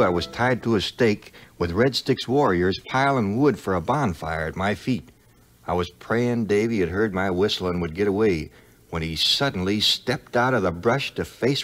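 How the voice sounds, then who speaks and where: A man playing a folksy frontier sidekick, telling his adventures with dry humor in a 1950s audio adventure. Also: on the low side. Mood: tense. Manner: folksy, dryly humorous, animated. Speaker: narrator. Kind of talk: scripted first-person storytelling, 1950s audio adventure. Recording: 1950s record, music behind.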